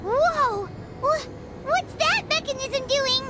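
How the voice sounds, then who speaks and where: A woman voicing a tiny, childlike, excitable fairy companion in a game, with a squeaky voice and an inquisitive, chatty manner. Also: slow, very noisy, heavily acted. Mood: startled. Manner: inquisitive, exclamatory. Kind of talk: cartoonish video game character acting.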